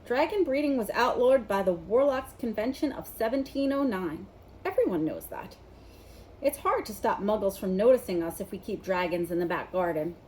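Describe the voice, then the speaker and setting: A woman voicing a blunt, excitable know-it-all schoolboy in a storybook, speaking emphatically. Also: medium pitch, moderate pace, slight background noise, close to an everyday voice, rough recording. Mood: emphatic.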